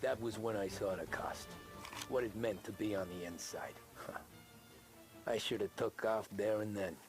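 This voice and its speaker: A man's voice: fairly low pitch, fairly even intonation, a little slow. An actor playing a weary American cabbie turned mob enforcer in a game, recounting his past in plain, reflective street talk. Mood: regretful, reflective. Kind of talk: dramatic game voice acting, conversational narration. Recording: music behind the voice.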